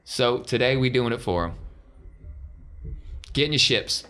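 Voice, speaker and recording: A man voicing a gruff, folksy rebel leader rallying fighters in a tabletop game, with commanding delivery and down-home grammar. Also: mid-range voice, a little slow, pushed and bright, voice pushed up. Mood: rousing, resolute.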